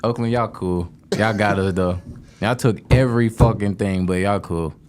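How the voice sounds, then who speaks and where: Young rapper, low-ish voice, laid back and rambly, cusses a lot. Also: male voice, moderate pace, a little mellow, slight background noise. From Detroit, raised in Atlanta. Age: 20s. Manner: laid-back, rambling, filler-heavy with frequent cursing. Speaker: rapper guest. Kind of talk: casual interview chat.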